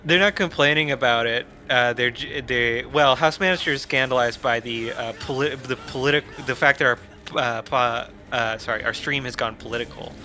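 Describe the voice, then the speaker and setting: A man's voice: medium pitch, moderate pace, hardly any pauses, bright, forceful tone, faint music behind. A man casually chatting on his gameplay stream, wry and laughing, reading viewer comments aloud. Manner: wry, reads chat messages aloud.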